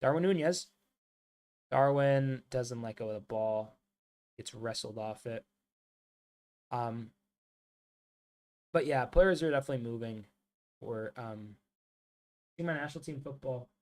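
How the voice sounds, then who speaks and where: A man's voice: mid-range voice, a little slow, long pauses, a little mellow. A man from the States, hosting a live football watchalong and chatting loosely with many fillers. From United States. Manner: casual, rambling, many "like" fillers, calls viewers mate and bro. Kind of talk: live match watchalong commentary and chat.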